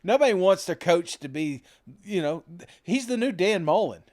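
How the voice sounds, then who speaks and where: Male sports podcast co-host, fairly high register, folksy, rambling and self-deprecating. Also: animated, moderate pace, darkish tone. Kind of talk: casual sports talk.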